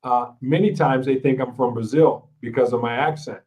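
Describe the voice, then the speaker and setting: A male host from Ohio who talks casually and keeps agreeing with his guest. His manner is gracious and encouraging, and his pitch is average. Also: normal speed, a little mellow, recorded from a distance, low-quality recording. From Ohio, USA. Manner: affirming, frequent agreement, gracious. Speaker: podcast host. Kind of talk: casual interview.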